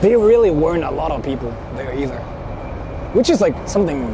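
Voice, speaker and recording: Higher-pitched man streaming from noisy street. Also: very animated, a little fast. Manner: informal, swearing, repeats himself. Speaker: livestreamer hosting an outdoor stream. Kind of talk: casual rambling livestream chat. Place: outdoors on Tokyo streets. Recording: noisy city street with passing traffic.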